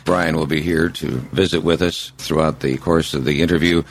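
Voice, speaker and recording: A man's voice: very deep voice, fairly even intonation, normal speed. A man interviewing for an oral history, asking short, direct questions in an apartment. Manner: brief, direct prompting questions. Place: an apartment in a retirement home.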